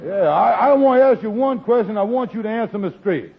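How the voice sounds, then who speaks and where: High husky lazy blowhard husband, folksy and indignant, muffled old radio sitcom. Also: male voice, animated, fairly quick, a little hiss or hum. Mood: suspicious. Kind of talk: 1940s radio sitcom acting.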